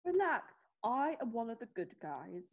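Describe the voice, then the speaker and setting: A woman's voice: on the high side, big pitch swings, normal speed, dull audio. A woman playing a suave, sly, witty male fox with an ironic way of talking in an amateur readthrough. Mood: suave, urgent.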